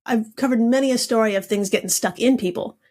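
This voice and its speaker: A woman's voice: a little high, quick pace, dark tone. A woman appearing as a podcast guest, chatting casually and joking along.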